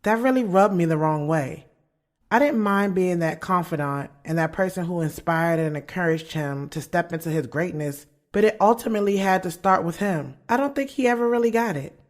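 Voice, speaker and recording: A woman's voice: fairly low pitch, expressive, moderate pace, lightly acted. An actress with an American accent playing a blunt, sarcastic young woman writer, narrating her love life in a slangy, conversational audio drama style. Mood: wry, candid. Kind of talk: first-person scripted narration in an audio drama. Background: music under the voice.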